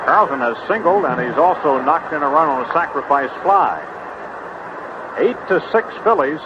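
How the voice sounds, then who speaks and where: A man's voice: on the high side, expressive, normal speed, muffled recording, noisy background. A man calling baseball play-by-play live from a stadium booth on a vintage radio broadcast. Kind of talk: live radio sports commentary.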